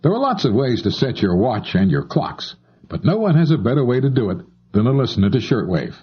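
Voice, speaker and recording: A man's voice: mid-range voice, very animated, a little fast, muffled recording, a little hiss or hum. A man giving scripted explanatory narration in a conversational way, speaking straight to the listener. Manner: conversational, addressing the listener directly. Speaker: narrator of an instructional tape on shortwave listening.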